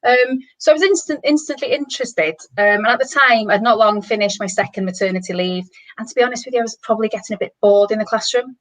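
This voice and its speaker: A woman's voice: a little high, fast, rough recording. A middle-aged woman with a Welsh accent, a native Welsh speaker, talking enthusiastically as a guest on an online panel, in long flowing runs. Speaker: managing director, guest. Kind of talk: casual online panel chat.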